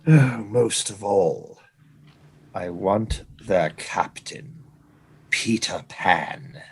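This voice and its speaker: An actor playing a menacing, vengeful pirate captain in an audio play, speaking in a deliberate, theatrical way. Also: male voice, on the low side, fairly bright tone, full character voice. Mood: brooding, vengeful.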